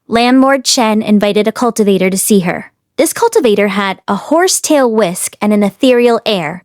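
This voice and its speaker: A woman narrator reading a story aloud in an expressive storytelling style. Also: a little high, dry recording, clean studio sound. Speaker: audiobook narrator.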